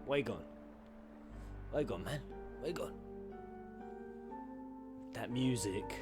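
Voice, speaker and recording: An animated man with an average-pitched voice gives casual reaction commentary. He talks in a playful, slangy and crude way, and game music plays prominently behind him. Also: slow pace. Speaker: host reacting to game cutscenes. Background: video game soundtrack.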